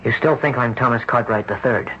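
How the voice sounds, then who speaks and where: A man acting a desperate, brooding young patient in an old-time radio drama, earnest and deliberate in his delivery. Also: medium pitch, a bit bright, lightly acted. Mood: grim, insistent. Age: 20s. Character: haunted, desperate young man trapped in another's body. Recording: muffled, noisy old radio broadcast recording.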